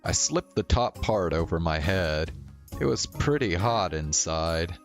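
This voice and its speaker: A man with a low voice reads story text aloud for a stream. He takes it slowly and casually, with music behind him. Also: lively intonation. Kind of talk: reading visual novel text aloud, with casual asides.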